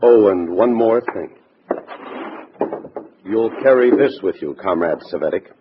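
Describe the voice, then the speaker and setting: An actor playing a brisk, authoritative party boss in a 1950s radio drama, with a formal, businesslike manner. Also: male voice, fairly low pitch, animated. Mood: stern, urgent. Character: brisk, authoritative male Communist party boss giving orders. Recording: muffled, faintly noisy vintage radio recording.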